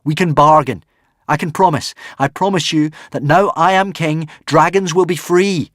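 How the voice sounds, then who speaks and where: Earnest young boy king, high-voiced and impassioned, as a male narrator puts him on. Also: moderate pace, a bit bright, no echo, studio quality, natural voice. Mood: pleading. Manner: urgent, impassioned pleading.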